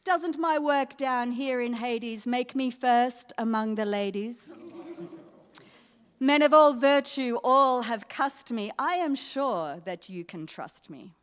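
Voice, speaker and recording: A woman playing a proud, seductive poisoner from Hades, scheming deliberately in rhyme in a radio play. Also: on the high side, smooth. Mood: proud, boastful. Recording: echoey hall, live stage.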